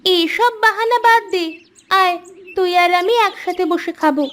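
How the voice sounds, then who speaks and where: Woman doing a shrill-high, insistent cartoon village girl. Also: normal speed, a bit bright. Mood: chiding, insistent. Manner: chiding, reassuring. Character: friendly, insistent village girl, a caring friend. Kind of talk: cartoon character dialogue.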